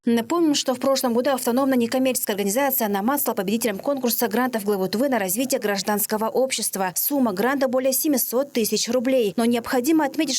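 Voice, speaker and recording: A high-pitched woman delivers scripted TV news narration very quickly. Her reporting is formal and informative, moving briskly from phrase to phrase. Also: a little mellow.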